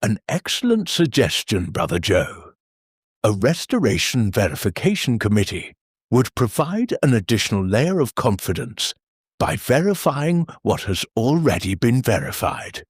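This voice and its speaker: An actor playing an earnest, formal church meeting chairman who speaks in a measured, bureaucratic deadpan. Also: medium pitch, husky, dry recording, studio quality. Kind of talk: audio drama acting.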